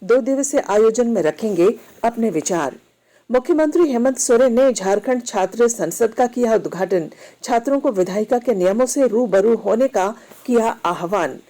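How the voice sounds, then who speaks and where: A woman reads a scripted news bulletin as a radio newsreader, in a formal, measured manner. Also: fairly high pitch, lively intonation, warm tone.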